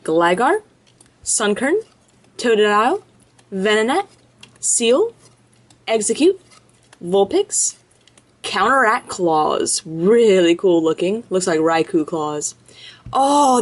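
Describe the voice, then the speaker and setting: A woman's voice: mid-range voice, sing-song. Chatty woman listing off card names. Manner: chatty, lists card names quickly in a row. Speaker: card-opening video host. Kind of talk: casual commentary while opening card packs. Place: indoors at a table, off camera behind hands.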